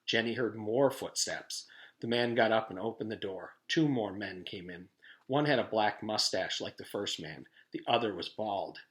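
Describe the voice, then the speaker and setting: A man's voice: fairly low pitch, moderate pace. A man reading a children's storybook aloud as a teacher would, with informal introductory remarks.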